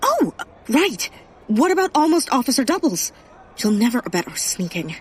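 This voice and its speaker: A woman playing a serious, determined and easily exasperated amateur sleuth, deliberate and disapproving, in a comedic audio drama. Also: on the high side, big pitch swings, breathy edge.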